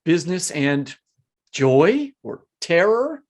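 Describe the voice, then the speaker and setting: A man co-hosting a podcast in a conversational, joking style that turns halting and rambling off script. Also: fairly high pitch, big pitch swings. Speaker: podcast co-host.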